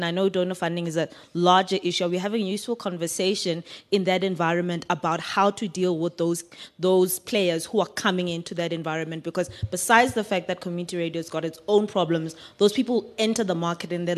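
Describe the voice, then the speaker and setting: A woman asking an informal, discursive question from the floor at a forum, hedging as she goes. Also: on the low side.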